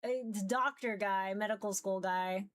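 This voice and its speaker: A woman's voice: medium pitch, steady tone, moderate pace. A woman chatting casually to viewers as a livestreamer, informal and rambling, with a giggly manner. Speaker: visual novel streamer.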